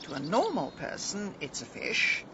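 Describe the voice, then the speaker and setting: An actress playing a bossy, impatient amateur detective in a radio drama, with an English accent and a brisk, sardonic manner. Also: female voice, on the low side, huge pitch swings. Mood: determined. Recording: dull sound, clear background noise.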